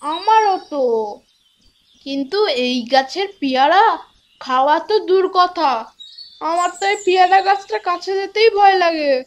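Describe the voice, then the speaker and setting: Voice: very high voice, normal speed, pauses often. A performer voicing a timid, wistful village boy in a cartoon, the older brother of a little girl. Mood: uneasy. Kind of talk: Bengali cartoon acting.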